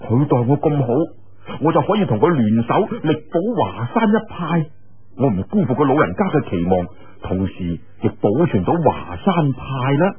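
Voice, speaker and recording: A man acts out an earnest, sharp-witted young swordsman from an audiobook, musing to himself in Cantonese. The voice is very husky and expressive, with scoffing little interjections. It sounds thin and band-limited, like radio. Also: medium pitch, moderate pace, phone-quality sound. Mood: hopeful musing.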